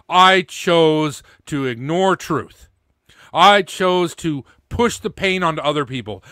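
A middle-aged man speaks off the cuff in a forceful, slightly high voice. He is blunt and indignant and swears freely. The sound is dry and close, from a studio microphone. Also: smooth, no room sound. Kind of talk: opinionated unscripted commentary. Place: small indoor home studio. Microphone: close studio microphone with pop filter, headphones.

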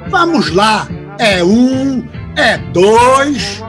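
A man's voice: extremely high pitch, expressive, extremely slow, heavily acted. A man acting a grandiose politician in an audio drama, with a declamatory public-speaking manner. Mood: jovial. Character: grandiose male politician, pious public benefactor, easily rattled. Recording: music under the voice.

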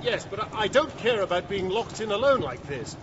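A man's voice: sits high, big pitch swings, brisk. An actor playing a formal, intense man in mortal fear, telling his story in a radio drama, on a dull, noisy recording. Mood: panicked. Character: terrified male narrator trapped with a predatory cat. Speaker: narrator and lead character.

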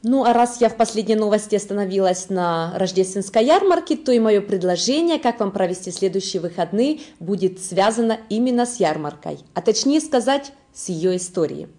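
Woman, thirties, news presenter, scripted reading, soft mellow timbre, animated pitch, medium register. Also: a little fast, few pauses. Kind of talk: scripted newsreading. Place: indoor studio.

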